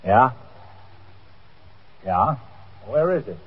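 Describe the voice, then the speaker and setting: An actor playing a businesslike police desk sergeant answering calls in a 1950s radio drama, with clipped questions. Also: male voice, mid-range voice, very animated, very slow, breathy edge, phone-quality sound, full character voice. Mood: businesslike, questioning. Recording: thin, band-limited 1950s radio recording.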